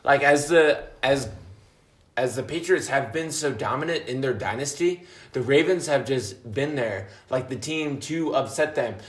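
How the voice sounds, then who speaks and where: A man hosting a casual drunken podcast chat, loose and profane in an animated way. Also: medium pitch, a little slow. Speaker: podcast host. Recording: echoey room.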